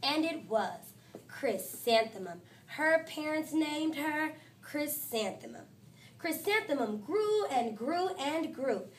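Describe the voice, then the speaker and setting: A woman teacher reads a picture book aloud in her classroom, with a somewhat high voice. She makes the story lively and expressive, lifting the characters' lines. She sounds a little distant from the microphone. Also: takes it slowly, slight background noise. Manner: expressive storytelling, voices quotes higher and louder.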